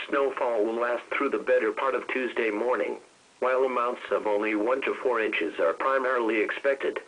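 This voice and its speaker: A man's voice: medium pitch, a bit bright, husky, rough recording. A man with a synthetic-sounding American voice reads a scripted weather radio bulletin in a formal, automated manner.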